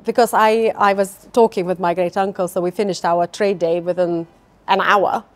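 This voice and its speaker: A middle-aged woman speaks, answering interview questions in an eager, heartfelt way. She often doubles her words for emphasis. She is recorded on a lapel mic in a bright office lounge. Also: on the low side, steady tone, moderate pace, no room sound. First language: Lithuanian. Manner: enthusiastic, repeats words for emphasis. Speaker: company founder, interviewee. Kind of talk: conversational interview. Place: bright open office lounge with large windows.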